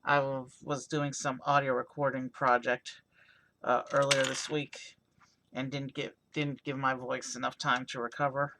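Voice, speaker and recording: A hoarse man with a raspy voice casually narrating a toy car build on a stream, rambling with many fillers. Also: medium pitch, level tone, measured pace, pushed and bright, slight background noise. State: hoarse from overuse. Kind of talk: casual live-stream commentary.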